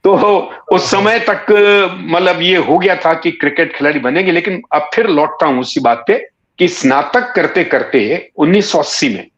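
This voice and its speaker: A man in his sixties from Rajasthan reminisces in a conversational interview, telling stories in an unhurried way with hesitant pauses. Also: fairly high pitch, lively intonation, a bit bright. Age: 60s. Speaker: interview guest, writer and former cricket commentator. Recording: dull, muffled lo-fi audio.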